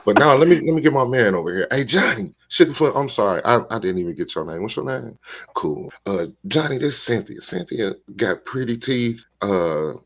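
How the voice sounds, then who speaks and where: A man in his forties hosting a casual podcast chat, laid-back and streetwise, acting out stories with lively voices. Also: mid-range voice, a little fast. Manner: animated storyteller, acts out bar scenes. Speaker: podcast host.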